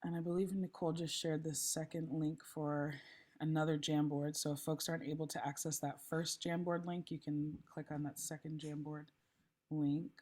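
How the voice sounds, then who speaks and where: Low-voiced hoarse woman on a video call. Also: level tone, normal speed, warm tone. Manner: friendly, chatty, lots of ums. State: slightly hoarse. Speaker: session facilitator. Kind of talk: online meeting facilitation. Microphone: laptop mic, then headphones.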